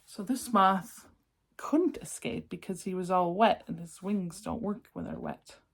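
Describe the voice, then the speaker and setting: A woman reading a picture book aloud expressively, with gentle, encouraging asides spoken directly to young listeners. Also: lowish voice, huge pitch swings, unhurried. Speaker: children's read-aloud presenter.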